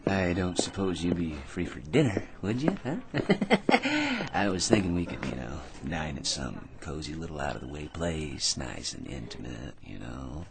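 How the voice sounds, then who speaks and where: An actor playing a brash, eager, self-deluded schemer in a comic radio drama, full of cocky tag questions and nervous chuckles. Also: male voice, very deep voice, wildly swooping pitch, unhurried, vocal fry, noisy background, full character voice. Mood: flirtatious.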